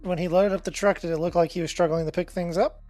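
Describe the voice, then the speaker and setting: A player in a casual tabletop game podcast, firing off quick questions and sarcastic jokes. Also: medium pitch, steady tone, a little mellow, dry recording, soft background music.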